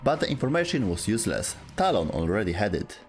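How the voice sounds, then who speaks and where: A man with a low voice delivers scripted lore narration. His storytelling is dramatic and clipped, with very lively intonation over faint background music. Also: fast.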